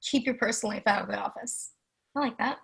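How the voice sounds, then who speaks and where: An actress playing a sharp, defensive middle-aged wealthy wife, sardonic and challenging, in an online screenplay reading. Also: female voice, fairly high pitch, fast, creaky. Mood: curious, guarded. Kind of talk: online screenplay table read.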